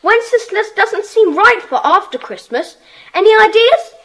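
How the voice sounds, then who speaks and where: Bossy, high-pitched schoolboy leader. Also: female voice, lively intonation, fairly bright tone, full character voice. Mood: earnest, organising. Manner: bossy, earnest, brisk. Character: bossy, eager schoolboy leader of a children's secret society. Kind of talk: children's audiobook dramatisation.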